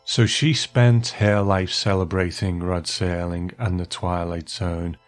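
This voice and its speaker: A man's voice: sits low, level tone, slow, faint music behind. A man hosting a podcast, moving between prepared remarks and easy conversation with a guest. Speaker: podcast host. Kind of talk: podcast talk, partly prepared monologue and partly chat.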